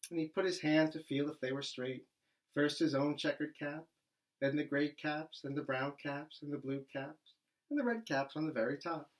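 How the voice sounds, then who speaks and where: A man reading a children's storybook aloud like a primary school teacher, animated and with dramatic emphasis. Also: a little high, moderate pace, poor audio.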